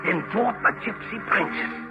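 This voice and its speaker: An actor playing a fussy, deferential ship's steward with an earnest manner in a 1940s radio mystery. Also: male voice, high voice, moderate pace, natural voice. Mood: confiding. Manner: obedient, earnest, reporting. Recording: muffled old radio with music.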